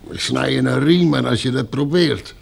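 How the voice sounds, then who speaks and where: An actor playing a surly, threatening henchman in a Dutch radio play, gruff and colloquial, with a gravelly voice. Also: medium pitch, moderate pace.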